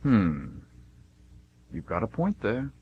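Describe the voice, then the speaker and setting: A man's voice: on the low side, big pitch swings, takes it slowly, slightly rough, dull audio, exaggerated voice. A man playing God as a smug, jovial, teasing deity in a comedic amateur radio play, breezy and fond of puns. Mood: amused, skeptical. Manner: breezy, self-satisfied, punning. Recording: noisy amateur radio recording with hum.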